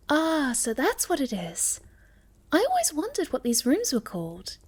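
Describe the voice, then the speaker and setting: A woman voicing a shy, sweet, curious young moth girl in an ASMR roleplay, speaking softly and politely with a hesitant stammer. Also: a little high.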